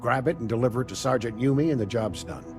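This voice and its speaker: An actor playing a composed male secret agent in a video game, dry, measured and slightly condescending. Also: medium pitch, natural voice. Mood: businesslike. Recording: prominent music behind the voice.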